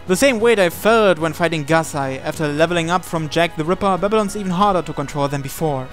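A man's voice: a little high, fast talker, a bit bright. A man reading visual novel narration aloud in a dramatic storytelling style. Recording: game music playing behind. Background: video game music.

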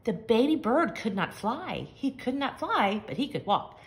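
A woman reading a picture book aloud to young children, with expressive, simple and emphatic phrasing. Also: fairly high pitch, a little slow. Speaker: children's story reader. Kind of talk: expressive storybook read-aloud.

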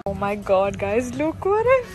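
A woman's voice: mid-range voice, unhurried. Giggly young woman, music over it. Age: young adult. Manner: excited, giggly, gushing. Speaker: vlogger. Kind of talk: casual vlog chat. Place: clothing store fitting room. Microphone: phone camera held at mirror. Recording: music playing over the talk.

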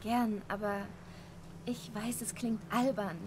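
A woman playing a shy, slow-spoken young bride. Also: mid-range voice, fairly even intonation, lightly acted. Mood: adoring. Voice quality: soft, timid. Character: young newlywed wife, shy and adoring. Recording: game audio with ambient noise.

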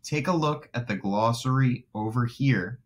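A man's voice: medium pitch, a little slow. A man in his thirties reading aloud to young children as their teacher, explaining things in simple terms. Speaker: elementary school teacher.

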